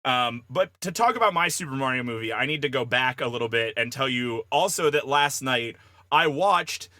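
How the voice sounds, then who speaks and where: A man with a bright, punchy voice at a medium pitch talks as a panelist on a casual comedy podcast. The sound is dry and close, and he leans into playful, emphatic exaggeration. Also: expressive, normal speed, dry recording.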